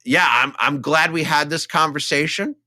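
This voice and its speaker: A man's voice: a little high, normal speed, pushed and bright, no echo, clean studio sound. A man co-hosting a casual film podcast, talking enthusiastically and emphatically in a conversational way. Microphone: studio microphone, close.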